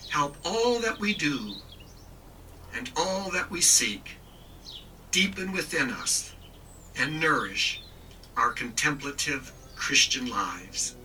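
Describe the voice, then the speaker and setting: Male prayer leader, very bright and lilting, recorded from a distance with faint birdsong. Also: on the high side, very animated, a little hiss or hum. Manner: slow, meditative, softly whispered at times. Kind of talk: contemplative prayer.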